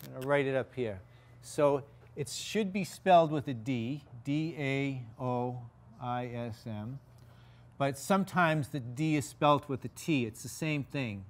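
A man's voice: medium pitch, a little slow. An older man with a Boston accent, giving a casual guest lecture in a large hall and telling his story in a rambling way, full of restarts and fillers. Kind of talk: informal campus lecture.

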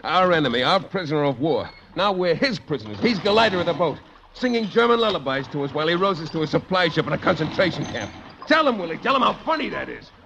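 A man's voice: fairly high pitch, very animated, quick pace, creaky. An actor playing a tough, bitter, hot-headed seaman, blunt and sardonic, in an old radio drama. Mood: bitter, mocking. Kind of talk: mid-20th-century American radio drama acting. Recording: muffled, noisy old radio recording.

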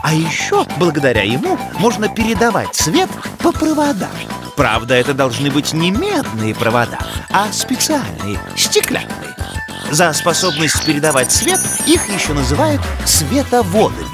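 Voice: mid-range voice, wildly swooping pitch, moderate pace. An actor voicing an earnest, brainy young cartoon animal who explains science in a precise, didactic way with small hesitations. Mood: earnest, explaining. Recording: background music under the voice.

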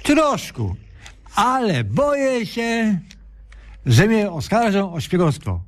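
A man's voice: sits high, very animated, slow pace, heavily acted. An actor playing a flustered, plaintive elderly watchman in a radio comedy sketch, with muddled rustic speech. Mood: anxious. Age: older. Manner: rustic, ungrammatical, lamenting exclamations.

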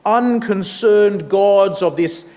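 An Australian man in his thirties preaches at a measured, unhurried pace, his voice sitting high. He speaks with animated emphasis into a stand mic. The hall around him rings with a long reverb. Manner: animated, big hand gestures while preaching. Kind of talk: sermon. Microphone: stand mic at a lectern.

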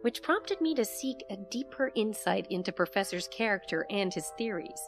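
A woman acting as an investigator who narrates a mysterious case in a measured first-person audio drama. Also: mid-range voice, animated, music in the background, lightly acted. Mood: pensive, intent.

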